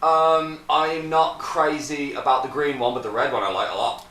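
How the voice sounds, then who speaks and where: A man's voice: a little high, steady tone, moderate pace, bright, forceful tone. A young man in casual chat, giving opinions and repeating words as he weighs them, recorded in an echoey room. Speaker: friend judging the suits. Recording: echoey room, distant mic, background noise.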